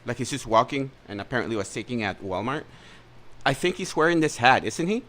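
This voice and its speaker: A man's voice: medium pitch, animated, a little fast, fairly bright tone, a little hiss or hum. A man streaming, reacting to a clip with sarcastic, crude commentary and rambling asides to his chat.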